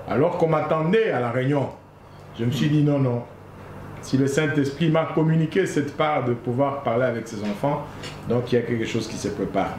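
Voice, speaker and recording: A man from Congo, a guest founder of an association, telling a personal story in an interview in an earnest, halting way, repeating his phrases. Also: on the high side, a little mellow. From Congo (DRC). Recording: echoey room, faint noise.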